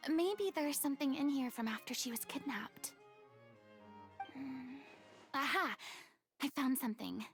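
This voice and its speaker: A woman's voice: high voice, a little slow, pauses often, soft background music. A woman playing an earnest, compassionate young investigator in a video game, speaking thoughtfully. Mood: focused, curious.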